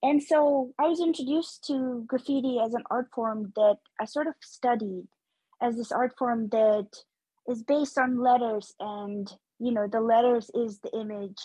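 A young woman from Nepal, an artist being interviewed, speaking thoughtfully with many fillers and lively bursts. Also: fairly high pitch, measured pace, slightly rough, no echo, low-quality recording. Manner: thoughtful, frequent fillers, animated when excited. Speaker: muralist guest. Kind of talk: interview conversation.

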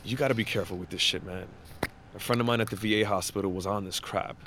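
An actor playing a brash, foul-mouthed war-veteran coworker, blunt, pushy and joking, in a naturalistic audio drama. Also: male voice, fairly low pitch, steady tone, fast, background noise, natural voice. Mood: gruff, friendly.

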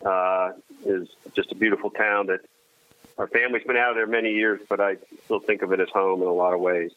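A man's voice: low voice, fairly even intonation, no echo. A man whose first language was Armenian, talking with many restarts and wry humor. His voice comes through a narrow, tinny phone-like line, and the sound is close and dry with no room around it. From United States. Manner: halting, many restarts, self-deprecating humor. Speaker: guest. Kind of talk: casual conversation.

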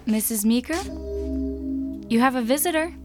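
An actress playing a polite, sympathetic nursing home nurse in an audio drama. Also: female voice, fairly high pitch, moderate pace, loud music behind the voice, close to an everyday voice.

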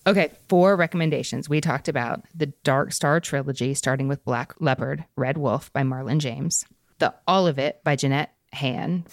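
A woman's voice: very deep voice, normal speed, no room sound, studio quality. A woman hosting a book podcast, chatting with guests and addressing her listeners. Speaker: podcast host. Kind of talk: warm book-podcast hosting, interview and sign-off.

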